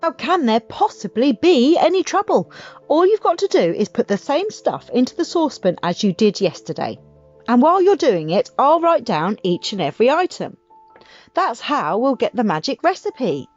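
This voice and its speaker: A woman voicing a wildly excited, impatient father with grand schemes in a children's audiobook, emphatic and urgent. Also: sits high, moderate pace. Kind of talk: audiobook character voice for children's story. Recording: muffled audio with music behind.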